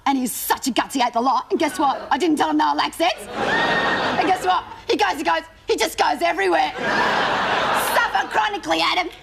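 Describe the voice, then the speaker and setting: A high, chattering Australian teenage schoolgirl pours out her humiliations in a rambling, breathless rush. She mocks everyone around her. Audience laughter and a noisy studio sit behind her. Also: brisk, vocal fry, over a noisy crowd. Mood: indignant, gossiping. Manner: breathless rambling storytelling, mocking asides. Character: gossipy embarrassed teenage schoolgirl. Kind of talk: comedy sketch monologue.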